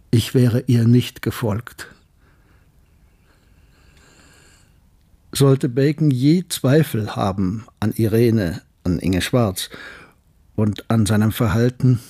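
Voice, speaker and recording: An actor playing a reflective, betrayed older man in a radio drama, telling his story in a measured, literary way. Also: medium pitch, dark tone, husky. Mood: bitter, reflective. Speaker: narrator and lead character. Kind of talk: German radio drama monologue and dialogue.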